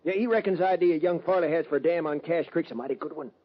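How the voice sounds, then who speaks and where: A man playing a talkative, folksy frontier local in an old-time radio western, with husky, rustic speech. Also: fairly high pitch, fast, natural voice. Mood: chatty, eager. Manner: colloquial, chatty rustic talk. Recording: dull old radio recording.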